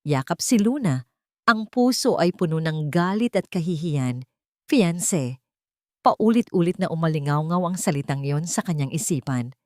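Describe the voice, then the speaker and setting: A woman narrating a love drama with an expressive, melodramatic reading. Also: lowish voice, dry recording, studio quality. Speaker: storyteller.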